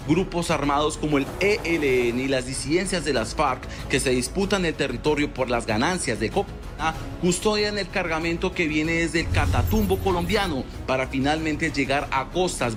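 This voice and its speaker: A man narrating a scripted news report in a measured, even way. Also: fairly high pitch. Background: music bed.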